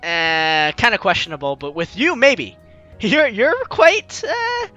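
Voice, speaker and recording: Lively high-voiced man reading a game. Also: slow pace, dull audio, soft background music. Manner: lively, laughs, breaks off to comment. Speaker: let's play host reading a visual novel. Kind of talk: playthrough reading with commentary.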